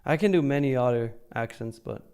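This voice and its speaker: A man's voice: mid-range voice, a little fast, a little mellow, smooth. A man hosting a live trading stream, chatting casually and informally as he comments and answers viewers, joking and swearing freely. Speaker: live stream host, futures trader.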